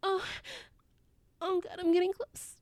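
A woman playing an amorous, playful lover in an intimate adult audio roleplay, breathy and often slipping into whispers. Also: a little high, fairly even intonation, measured pace, full character voice. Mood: aroused, breathless.

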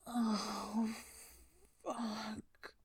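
A young woman performing an intimate audio roleplay in a soft, breathy whisper close to the mic. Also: fairly high pitch, level tone, very slow, background noise.

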